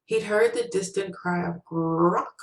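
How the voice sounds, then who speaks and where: Female story narrator, low register, author reading own fiction, recorded in an echoey room. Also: fairly even intonation, a little slow, a little mellow.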